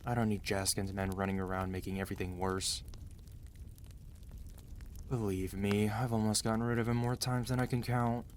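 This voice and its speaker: A man acting out a weary, protective mafia boss husband in an ASMR roleplay, commanding and dry yet gentle and intimate. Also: fairly low pitch, little pitch movement, moderate pace, pauses often, slight background noise, close to an everyday voice. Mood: stern, businesslike. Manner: tired, authoritative, dry, intimate.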